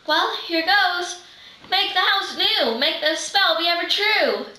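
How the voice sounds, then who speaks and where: Teenage girl as proud witch, readers' theater, dramatic chanting, bright young voice, echoey room. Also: very high-pitched, slow pace, heavily acted. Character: excitable female witch chanting spells, proud and eager. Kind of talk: readers' theater script reading. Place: living room, far camera microphone. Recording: roomy, distant microphone.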